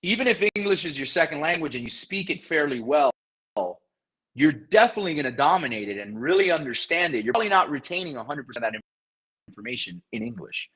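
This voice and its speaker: A man whose first language is Spanish talks as a podcast guest with lively energy. His voice is bright and forceful with a husky edge. He often repeats words and restarts sentences on the fly. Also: fairly high pitch, fast talker, rough recording. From South Florida. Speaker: guest, real estate investor. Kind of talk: casual interview talk.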